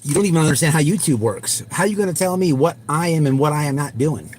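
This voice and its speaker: A man's voice: on the high side, fast talker, a little mellow. A man hosting a casual livestream, talking in a blunt, slangy, profane way. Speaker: livestream host. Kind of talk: casual livestream chat and commentary. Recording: noisy recording with car sounds.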